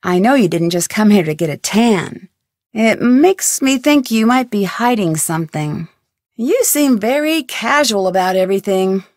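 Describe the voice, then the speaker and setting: A woman's voice: mid-range voice, animated, unhurried, darkish tone, no echo, close to an everyday voice. A woman voicing a curious, probing young woman detective in a video game, asking question after question with a dry wit. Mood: suspicious. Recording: dry studio recording.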